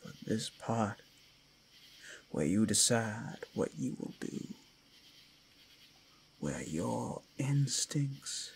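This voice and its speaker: A man playing a predatory male werewolf in an ASMR roleplay, menacing and seductive, theatrical, with a gravelly voice that often drops to whispers. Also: lowish voice, very animated, drawn-out words, long pauses, a little hiss or hum, heavily acted. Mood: taunting, menacing. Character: predatory male werewolf toying with his prey. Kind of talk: ASMR roleplay, dramatic monologue.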